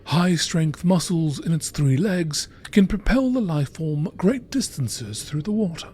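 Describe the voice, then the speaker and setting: A man reading scripted narration in a nature documentary style, in a measured, informative manner. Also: a little high, expressive, darkish tone, a little hiss or hum.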